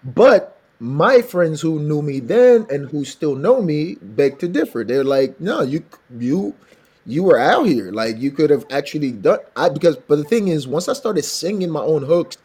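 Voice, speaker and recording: A man from Benin, West Africa, a singer with a raspy voice, telling stories in an animated way in a casual interview. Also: fairly high pitch, a little slow. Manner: animated storytelling, laughs often. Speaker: singer and songwriter guest.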